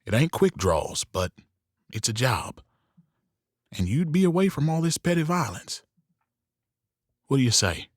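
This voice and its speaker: An actor playing a world-weary, goading saloon owner in a western audio drama, with a folksy, speechifying manner. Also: male voice, medium pitch, sing-song, measured pace, pauses often, no room sound, studio quality. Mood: incredulous, mocking.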